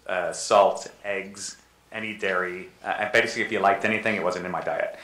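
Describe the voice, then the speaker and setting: A man's voice: sits low, fairly even intonation, brisk, bright, forceful tone. A man speaking on a panel about food, earnest and rambling, often restarting his sentences. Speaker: panelist, natural foods school head. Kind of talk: panel discussion. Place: lecture room with audience. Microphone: table mic. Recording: echoey room, faint noise.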